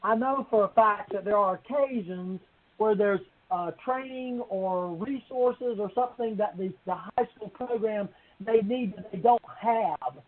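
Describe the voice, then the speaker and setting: A man's voice: sits high, measured pace. A man speaking as a council member, making informal, rambling remarks in a budget meeting that circle back to the point. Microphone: conference call. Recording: thin, phone-like conference call.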